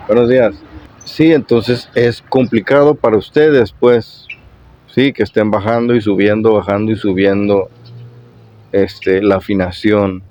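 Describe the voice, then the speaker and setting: Low, husky man, dull lo-fi sound. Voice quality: hoarse, husky. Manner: repetitive, with frequent check-in questions. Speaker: YouTube guitar tutorial host. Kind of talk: informal explanatory vlog to viewers.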